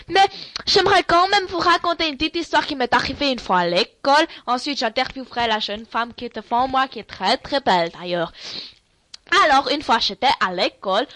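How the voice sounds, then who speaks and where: A woman's voice: high-pitched, fairly bright tone, dry recording. A woman playing a silly radio host with fake-formal announcer manners in an improvised sketch.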